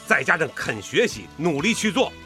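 Earnest farmer father, lively higher-pitched voice. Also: normal speed. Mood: determined. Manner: heartfelt, folksy, exclaiming. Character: earnest middle-aged farmer father with a stubborn dream. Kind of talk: Chinese radio drama acting. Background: music.

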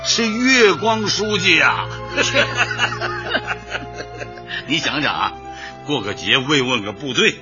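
Old man, genial teasing husband, radio drama, leisurely pace, lilting, gently grumbling. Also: fairly high pitch, sing-song, heavily acted. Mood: amused grumbling. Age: older. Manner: chuckling, drawn-out, gently grumbling. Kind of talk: Chinese radio drama acting. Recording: dull, lo-fi audio with music.

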